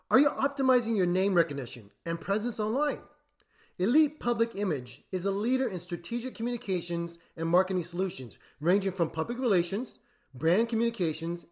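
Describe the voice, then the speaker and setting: A podcast host reading sponsor messages in a measured, announcer-like way. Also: medium pitch. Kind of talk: scripted ad reads. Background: music bed.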